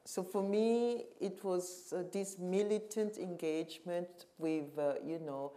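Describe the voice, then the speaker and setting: A middle-aged woman from Cameroon speaks in a panel conversation as a curator. Her voice sits low and sounds warm and mellow. She is reflective and emphatic, and often pauses to search for the right word. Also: steady tone. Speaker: curator and museum director.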